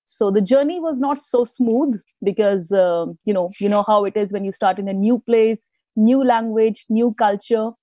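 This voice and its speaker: A woman's voice: on the high side, normal speed, dry recording. A woman from India, a guest speaker telling stories about her life in a live interview, laughing often. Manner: warm, storytelling, laughs often.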